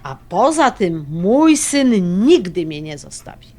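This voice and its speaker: A woman's voice: lowish voice, very animated, slow pace, darkish tone, exaggerated voice. Woman acting a blunt, stubborn old grandmother. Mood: defiant. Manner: blunt, folksy, grumbling. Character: stubborn elderly Silesian grandmother, possessive of her son. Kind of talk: audio drama acting.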